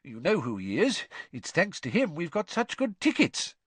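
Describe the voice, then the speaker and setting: A man voicing a mild, anxious middle-aged wizard father in an audiobook, with a gentle, tentative manner. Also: on the high side, wildly swooping pitch, moderate pace, breathy edge, no echo, voice pushed up, full character voice. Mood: genial.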